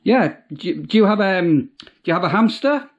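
A man in his fifties with a British accent and a notably high voice. He chats casually in a dry, deadpan manner. The sound is a little dull, like a simple home recording. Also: normal speed. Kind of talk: casual prank phone chat. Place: small domestic room.

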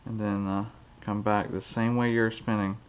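A man's voice: on the low side, little pitch movement, normal speed, clean tone. A man hosting a fishing channel, casually demonstrating a knot in his garage while counting the steps aloud. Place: cluttered garage, indoors. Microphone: head-mounted action camera.

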